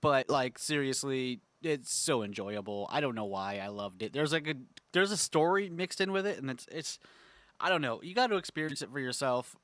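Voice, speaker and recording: A man's voice: mid-range voice, lively intonation, normal speed, fairly bright tone, no echo. Congested young man rambling about games. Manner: rambling, filler-heavy, enthusiastic. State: congested, clearing throat often. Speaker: gaming channel host. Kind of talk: casual tier-list chat.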